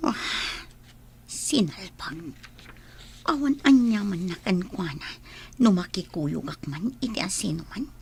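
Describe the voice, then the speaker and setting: A woman playing a willful grown daughter in an Ilocano Filipino radio drama, her voice slightly husky. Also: medium pitch, expressive, slow, long pauses, darkish tone, exaggerated voice. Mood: sulky.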